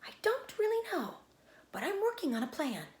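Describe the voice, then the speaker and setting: A woman reading a children's storybook, voicing a motherly female spider in a measured, comforting and firm manner. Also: very high voice, very animated, a little mellow, roomy sound, voice pushed up. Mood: cool, collected. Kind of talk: children's storybook read-aloud.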